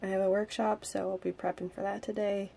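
A young woman in her twenties vlogging casually from her cozy wooden home, chatty and upbeat and a little hoarse from a cold. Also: mid-range voice, level tone, brisk, warm tone, a little hiss or hum. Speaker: vlogger and songwriter. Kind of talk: casual chat to camera. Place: cozy wooden home kitchen and living room.

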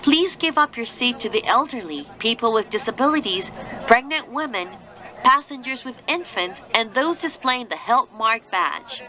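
Woman, highish bright voice, scripted train announcements, formal, careful diction. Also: lively intonation, rough recording. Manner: formal, measured, carefully enunciated. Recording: train announcement with faint vehicle noise. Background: moving train noise.

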